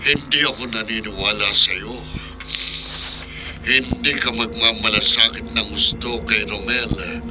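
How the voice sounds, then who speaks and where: A man acts out an overbearing Filipino monster of a father in a horror radio play. His voice is low, stern and threatening, with music clearly playing behind it. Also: steady tone, a little slow, natural voice, low-quality recording. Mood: menacing, accusing. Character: menacing, overbearing father who turns into a manananggal monster.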